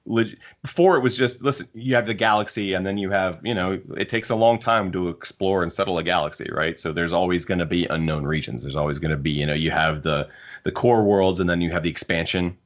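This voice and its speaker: Deep voiced guy hosting a podcast, rambly casual book chat, dull audio. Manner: rambling, thinking aloud. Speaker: podcast host.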